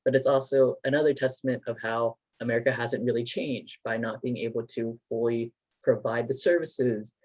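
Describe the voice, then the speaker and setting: Young male host, thoughtful and loose, lots of "kind of" and "like"; middle pitch, dry close recording. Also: darkish tone, no room sound, low-quality recording. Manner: thoughtful, loosely phrased with fillers. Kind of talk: casual conversation.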